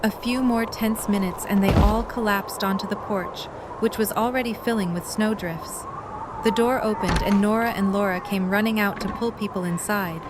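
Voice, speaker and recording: A woman's voice: mid-range voice, fairly even intonation, moderate pace. A woman reading scripted story narration for an audio drama. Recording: noisy storm ambience behind.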